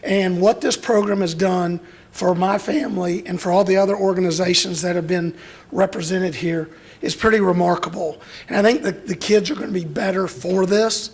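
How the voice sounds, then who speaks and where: A middle-aged man from Alabama speaks with a hoarse, gravelly, raspy voice. He gives a heartfelt, emotional speech to an audience, pitched high for a man. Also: steady tone, moderate pace. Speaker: speaker at a youth graduation, father of a boy with Duchenne.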